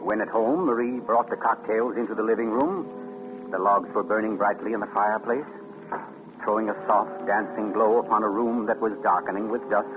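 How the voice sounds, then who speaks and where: An actor playing a grave author who narrates suspense in a deliberate, dramatic 1940s radio style. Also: male voice, lowish voice, steady tone, natural voice. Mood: grave, suspenseful. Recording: dull 1940s radio recording. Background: music.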